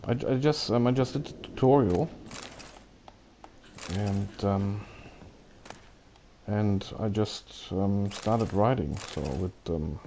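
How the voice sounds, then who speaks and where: A man's voice: lowish voice, slow, pauses often, dark tone, slight background noise. A man chatting casually as he livestreams a video game, often hesitant and thinking aloud. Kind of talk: casual rambling game stream commentary, chatting with viewers.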